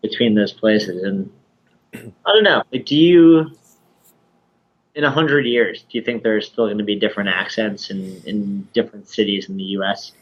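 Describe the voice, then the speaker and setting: A man speaking as a guest over a video call, articulate and thoughtful, laying out his ideas in a measured way. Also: on the low side, muffled recording. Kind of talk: casual interview conversation.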